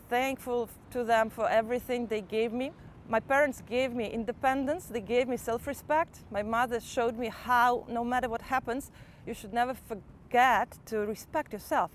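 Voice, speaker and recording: A young woman from Ukraine with a Ukrainian accent, a motivational teacher calmly and earnestly reflecting in her own words. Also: fairly high pitch, a little hiss or hum. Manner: calm, earnest and measured, with small restarts. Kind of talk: personal first-person reflection.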